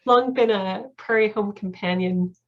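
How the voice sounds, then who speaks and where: A woman takes part in a casual conference chat. Her voice is of middling pitch, and the video-call audio is a little dull and lo-fi. She speaks conversationally, as a participant sharing her own thoughts. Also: a little slow. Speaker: panel participant, conference staffer.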